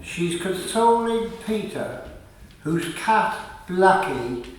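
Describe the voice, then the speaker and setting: High-pitched male narrator, very echoey. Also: very animated, very slow, slight background noise. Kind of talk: scripted radio play narration. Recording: very echoey room, distant mic.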